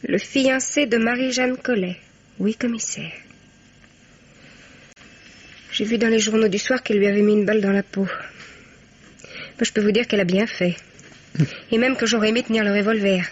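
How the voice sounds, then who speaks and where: An actress playing a frank, cheeky young student daughter in a 1950s French radio mystery. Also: female voice, medium pitch, steady tone, normal speed, lightly acted. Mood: bitter, defiant. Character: brash, wry young student daughter, freshly jilted. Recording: dull vintage radio recording.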